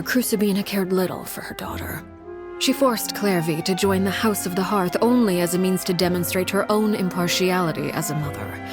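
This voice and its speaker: A woman voice actor playing a composed, cold, commanding woman who narrates a grim past in a game, in a measured, grave, articulate manner. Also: fairly low pitch, close to an everyday voice. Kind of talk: scripted video game story narration.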